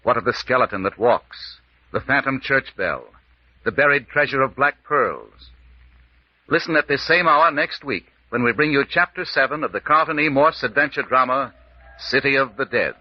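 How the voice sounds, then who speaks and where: An ominous serial announcer played by a man with a medium-pitched voice. He delivers lines with theatrical, portentous weight in 1940s radio style, on a dull old recording. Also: animated, normal speed, natural voice. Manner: portentous, theatrical rhetorical questioning.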